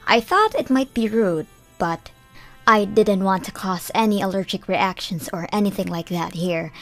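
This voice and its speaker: A young woman narrating a manga dub in first person, measured and earnest. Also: mid-range voice. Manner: measured storytelling, plain and earnest.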